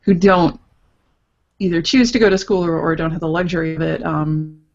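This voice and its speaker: Lo-fi, lowish-voiced woman podcast guest. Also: fairly even intonation, moderate pace, a little mellow. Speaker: podcast guest, comics artist. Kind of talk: casual podcast discussion. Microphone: online voice call. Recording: dull, lo-fi internet call audio.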